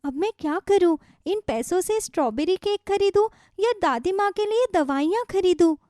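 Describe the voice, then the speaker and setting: A woman's voice: extremely high pitch, lively intonation, normal speed, dark tone, no room sound, studio quality, pitched above the performer's own voice. A woman voicing a kind, eager little girl in a children's story, polite and earnest. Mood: worried, torn.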